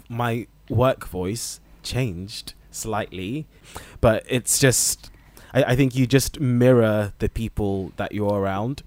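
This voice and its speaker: A man with a South London accent, a junior doctor, talking in a relaxed, hesitant way. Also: lowish voice, no room sound. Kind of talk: casual discussion.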